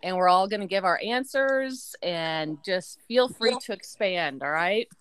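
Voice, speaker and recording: A woman in her forties hosting a casual family podcast, chatty and quick to laugh. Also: mid-range voice, lively intonation, clear, resonant tone. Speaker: podcast host. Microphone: remote call.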